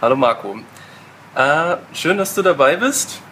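A man's voice: fairly high pitch, expressive, a little slow, bright, forceful tone. A man hosting a casual travel podcast, asking a guest questions with brief replies like "cool" and "yeah". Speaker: podcast host, backpacker. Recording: noisy recording.

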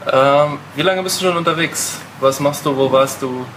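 A man's voice: on the high side, normal speed. Man hosting a travel podcast over hum. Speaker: podcast host, backpacker. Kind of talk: casual podcast interview. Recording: noisy recording.

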